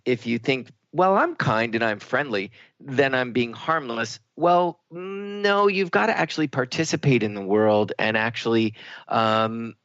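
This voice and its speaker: A man co-hosting a spiritual talk radio show in a reflective, halting, conversational way, with slightly dull sound. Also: fairly low pitch, creaky, a bit bright, dry recording. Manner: reflective, halting, searching for words.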